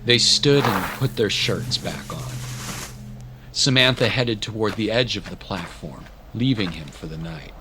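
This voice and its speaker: Slow, solemn male storyteller over music. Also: lowish voice, animated. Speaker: narrator. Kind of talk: dramatic scripted story narration. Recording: dramatic music bed.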